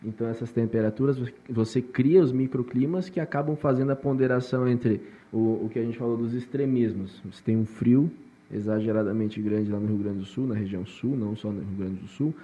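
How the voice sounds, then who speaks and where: Mellow-voiced host, rambling. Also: male voice, mid-range voice, a little fast, highs cut off. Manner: rambling, many restarts. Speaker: podcast host. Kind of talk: podcast interview.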